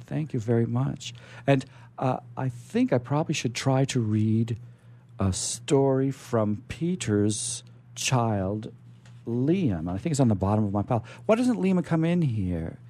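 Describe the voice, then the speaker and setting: A man hosting a radio show, chatting and reading aloud in a rambling, halting way, often repeating words. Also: mid-range voice, animated, warm tone. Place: radio studio. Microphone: studio microphone.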